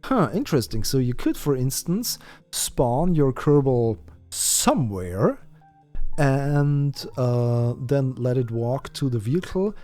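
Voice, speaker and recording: A man's voice: medium pitch, big pitch swings, slow pace, mellow, soft background music. A man whose first language is German, chatting through a live game stream and thinking aloud with many fillers and restarts. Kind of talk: live-stream gameplay chat.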